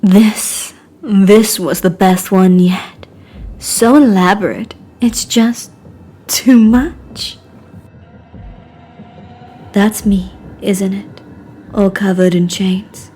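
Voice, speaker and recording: A woman voicing an obsessive, lovesick madman, theatrical and crooning, with breathy whispers, in a game character reel. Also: medium pitch, steady tone, extremely slow, pauses often, dark tone. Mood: wistful. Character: obsessive lovesick madman, tender then menacing suitor.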